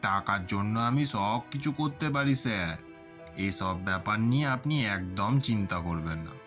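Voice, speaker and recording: A man's voice: fairly low pitch, expressive, moderate pace, a bit bright. A man playing a deferential underling eager to serve his boss in a cartoon story. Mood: servile, assured. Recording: music under the voice.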